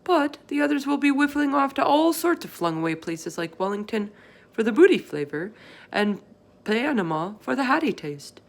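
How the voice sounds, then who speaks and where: A woman voicing a big, friendly male giant from a children's storybook, earnest and excitable, with muddled folksy grammar. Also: a little high, normal speed, creaky, pitched above the performer's own voice. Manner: emphatic, garbled folksy grammar with made-up words.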